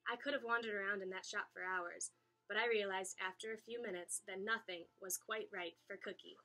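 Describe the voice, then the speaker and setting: A woman reading a children's story aloud in an expressive, animated way, acting out the dialogue. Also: medium pitch, a little fast, rough recording. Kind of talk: expressive read-aloud narration.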